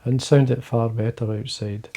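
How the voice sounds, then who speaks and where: A man with a Scottish accent, talking quietly and casually to camera from his fly-tying desk, rambling with fillers and restarts. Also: medium pitch, little pitch movement, normal speed, breathy edge. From Scotland. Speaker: fly-fishing video host.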